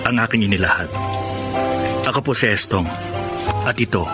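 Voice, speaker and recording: A man's voice: on the low side, normal speed, close to an everyday voice. Man acting a humble reformed ex-convict, music underneath. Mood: earnest, reflective. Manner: polite, deferential, earnest. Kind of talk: dramatic radio drama acting and first-person narration. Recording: radio drama with music underneath. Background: clear background music.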